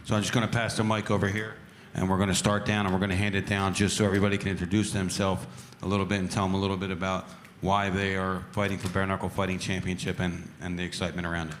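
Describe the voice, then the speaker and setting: Man, press conference presenter, deep voice, flat, fast. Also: slight background noise. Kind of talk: introducing speakers at a press conference.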